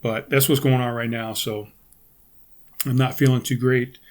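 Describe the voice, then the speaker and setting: A middle-aged man hosting a podcast, talking casually with a raspy, hoarse and congested voice, pausing often to clear his throat and restarting sentences. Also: medium pitch, flat delivery. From United States. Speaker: podcast host. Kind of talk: casual solo podcast talk.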